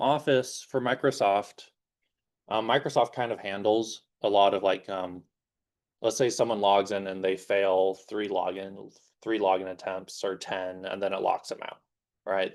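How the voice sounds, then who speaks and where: A man's voice: on the low side, a little mellow. A young man in his twenties, a guest security engineer speaking informally to a class in a classroom, rambling with many fillers as his voice starts to give out. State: slightly losing his voice.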